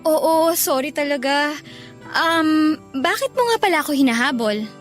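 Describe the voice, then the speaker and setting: A very high, girlish voice plays a young Filipino college woman in a radio drama. She is evasive and easily exasperated, brushing off a suitor. Light music plays behind her. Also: expressive, a little slow. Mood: apologetic, evasive. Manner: exasperated, dismissive.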